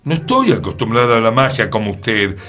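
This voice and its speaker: An actor playing an earnest, formal radio co-host bewildered by magic in a scripted radio play. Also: male voice, lowish voice, very animated, fairly quick, sharp tone, soft background music. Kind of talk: scripted radio theatre dialogue.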